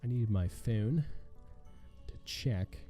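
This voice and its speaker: A young man with a hoarse voice commenting casually on his own gameplay, thinking aloud with a wry tone and muttering as he reads. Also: lowish voice, expressive, slow pace, mellow, faint music behind. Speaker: gaming channel host. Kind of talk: casual let's play commentary.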